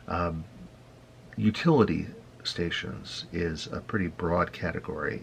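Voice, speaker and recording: A man hosting an informal tutorial, explaining things step by step in an unhurried, halting way. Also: low-pitched, gravelly, fairly bright tone, a little hiss or hum. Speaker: host, radio hobby instructor.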